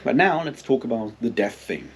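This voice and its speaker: A man giving a casual, unscripted book commentary in a halting, rambling way, with plenty of filler phrases. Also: medium pitch, expressive, slight background noise. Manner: halting, hesitant, rambling delivery with frequent hedging filler phrases. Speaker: book reviewer and readalong host.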